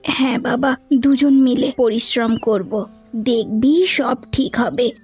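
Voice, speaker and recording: Woman as a husky, high-voiced old village mother. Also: slow pace, darkish tone, faint music behind, heavily acted. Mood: encouraging. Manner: soothing, earnest, encouraging. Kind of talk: cartoon dialogue.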